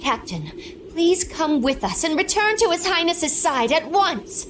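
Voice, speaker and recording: A woman's voice: extremely high pitch, sing-song, a little slow, a bit bright, slight background noise, heavily acted. A woman playing a dutiful young knight in a video game, stern, formal and earnest. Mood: urgent concern. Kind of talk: dramatic video game voice acting.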